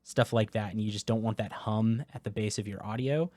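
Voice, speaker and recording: A man casually walking through software settings in a product review, talking into a close dynamic USB microphone. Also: fairly low pitch, fairly even intonation, fairly quick, dry recording. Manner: casual, explanatory, with filler words. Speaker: tech reviewer.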